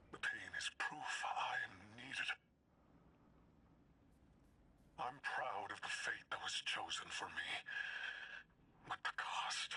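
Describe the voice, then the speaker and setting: An actor playing a menacing, proud and fanatical dark warlord in a video game, hissing out slow, taunting, grandiose monologues. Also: male voice, sits low, full character voice. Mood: brooding, taunting. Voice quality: breathy, hissing whisper with a hard edge. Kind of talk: dramatic video game villain acting.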